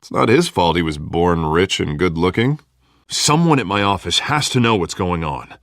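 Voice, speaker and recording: An earnest American district attorney in his thirties for a video game. His pitch swings widely as he talks in an emphatic, colloquial way, recorded close and dry. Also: animated, moderate pace, a bit bright, no echo. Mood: troubled. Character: earnest, excitable male district attorney, loyal friend.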